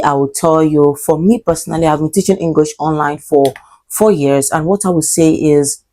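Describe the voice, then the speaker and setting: A woman's voice: deep voice, mellow. A woman in her twenties with a Nigerian accent, vlogging casually and rambling with fillers and self-corrections. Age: 20s. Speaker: vlogger and English teacher. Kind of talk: casual vlog talk to camera.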